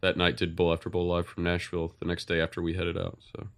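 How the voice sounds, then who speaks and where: A man's voice: low-pitched, monotone, fast. A man hosting a podcast, chatting casually in a rambling way with many restarts. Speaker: podcast host.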